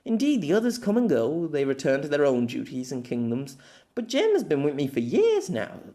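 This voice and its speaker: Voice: mid-range voice, wildly swooping pitch, normal speed, dark tone. A narrator voicing a spirited, imperious young warrior noblewoman with formal, old-fashioned and emphatic speech in an amateur audiobook. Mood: fond, proud. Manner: formal archaic phrasing, emphatic. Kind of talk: amateur audiobook character acting.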